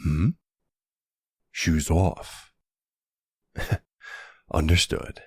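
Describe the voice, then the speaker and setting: Casting: male actor as silver-fox mob boss for ASMR roleplay, middle-aged, extremely low husky voice, unhurried, courteous and flirty. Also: steady tone, no room sound, exaggerated voice. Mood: warm, flirtatious.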